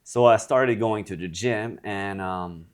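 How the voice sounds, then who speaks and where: Deep-voiced man from France. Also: takes it slowly. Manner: reflective, frank, with frequent hesitations. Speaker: guest, gym founder and trainer. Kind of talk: casual interview conversation.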